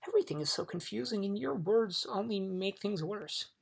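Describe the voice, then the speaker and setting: A woman voicing an earnest, polite young boy in a storybook, soft and gently insistent. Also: on the low side, big pitch swings, moderate pace, creaky, husky, full character voice. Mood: puzzled protest.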